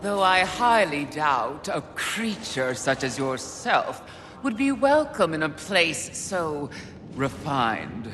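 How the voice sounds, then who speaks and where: An actor playing a haughty, contemptuous embodiment of sin in a video game, pompous, sneering and theatrical. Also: male voice, a little high, sing-song, slow pace, in a large hall, noisy background. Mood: disdainful. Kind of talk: dramatic video game acting.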